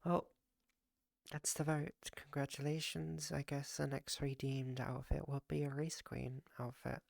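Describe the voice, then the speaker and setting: A streamer in casual chat with their viewers, voice raspy and gravelly from the morning. The delivery is flat and groggy, full of fillers and restarts. The sound is dry and close, with no room around it. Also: medium pitch, measured pace, dry recording. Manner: rambling, with frequent fillers and restarts. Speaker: live streamer.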